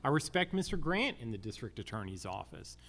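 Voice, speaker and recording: An American man with a mid-pitched voice answers as a candidate for judge at a public forum. He speaks deliberately and drives points home by repeating himself emphatically. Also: sing-song, a bit bright. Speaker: judicial candidate.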